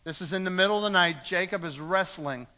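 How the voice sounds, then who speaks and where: Man, pastor, sermon, earnest, bright voice, slightly high. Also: quick pace. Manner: earnest, repeats words as he speaks, reads scripture aloud. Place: church.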